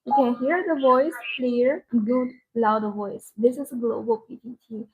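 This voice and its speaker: A woman's voice: on the high side, steady tone, a little slow, husky, narrow, tinny audio. A woman who speaks English as a second language, presenting products in a live sales demonstration with simple, repetitive phrasing. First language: not English.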